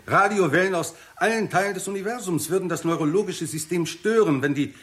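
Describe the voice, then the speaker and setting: An actor playing a confident scientist who lectures deliberately and emphatically in a 1960s German radio drama. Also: male voice, high voice, fairly bright tone. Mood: assured, emphatic. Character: male scientist, confident, explanatory, theorising.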